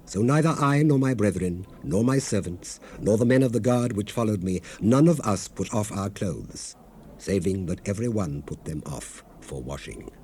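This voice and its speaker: A man's voice: lowish voice, expressive, moderate pace, a little mellow, natural voice. An actor playing an earnest, devout leader recounting his people's struggle in a solemn, oratorical scripture dramatization. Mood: rousing, commanding. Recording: hissy 1970s cassette rip.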